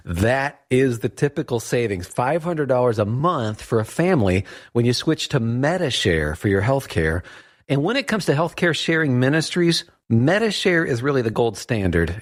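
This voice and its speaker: Animated man reading a radio ad. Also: mid-range voice. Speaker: radio ad announcer. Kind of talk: conversational scripted advertising read.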